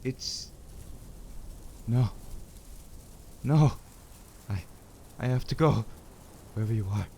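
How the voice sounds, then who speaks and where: A distraught man, lost and confused, in a suspense radio play. His voice is dark and creaky, coming out in halting, urgent bursts. A faint rumble sits underneath. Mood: alarmed, urgent. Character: distraught, disoriented man lost inside a maze. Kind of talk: suspense radio drama acting.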